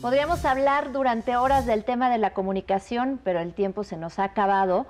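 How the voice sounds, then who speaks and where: A woman's voice: on the high side, a little fast, a little mellow, soft background music. A woman with a Mexican Spanish accent hosting a talk show, graciously wrapping it up and thanking her guest. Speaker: host.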